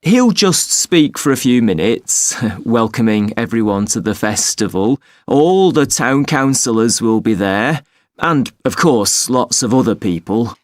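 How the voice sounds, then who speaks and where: A man plays a helpful festival clerk on a scripted phone enquiry. His voice sits at a middling pitch and explains things clearly and courteously. The sound is very dry, without room echo. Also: expressive, unhurried, natural voice. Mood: helpful, polite. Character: helpful, polite festival box office clerk. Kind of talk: scripted listening-test phone dialogue.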